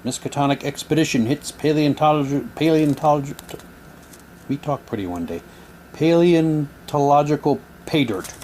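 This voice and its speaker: A man giving halting, low-key, wry commentary as he casually unboxes items and reads captions aloud. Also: darkish tone, a little hiss or hum. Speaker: unboxing video host.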